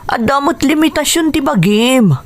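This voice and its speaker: A young, supportive friend of a boxer in a radio drama. The voice is on the high side, with a caring and anxious manner. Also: female voice, animated, normal speed. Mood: concerned, supportive. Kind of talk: Ilocano radio drama acting.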